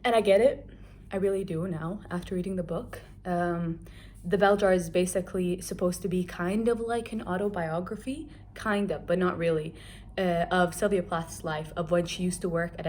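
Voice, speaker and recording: A woman's voice: lowish voice, steady tone, brisk, darkish tone, a little hiss or hum. A young adult woman from Kuwait giving a chatty, candid book review, talking casually with lots of filler words.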